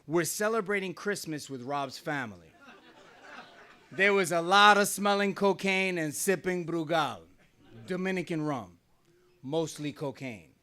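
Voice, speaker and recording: A man's voice: a little high, a little slow, pushed and bright. Wry middle-aged man telling a story. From New Jersey, USA. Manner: wry, conversational, often laughing. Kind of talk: live personal storytelling. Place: small venue, live on stage.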